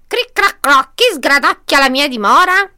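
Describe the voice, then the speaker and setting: Woman putting on a loud, high, horrid storybook witch. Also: lively intonation, a little slow, heavily acted. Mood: menacing. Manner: grunting, menacing, crunchy nonsense words. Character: horrid old grumpy witch, menacing.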